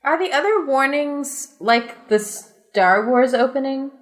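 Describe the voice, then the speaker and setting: A woman's voice: high-pitched, animated, takes it slowly. A woman hosting a comedy podcast, mixing casual chat and reading aloud with giggly, self-deprecating asides.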